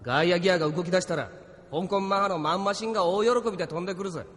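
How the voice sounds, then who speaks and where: A man's voice: high voice, racing, fairly bright tone. A man playing a cocky, wry crewman and pilot in a Japanese audio drama. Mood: cocky, sardonic. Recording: long hall reverb.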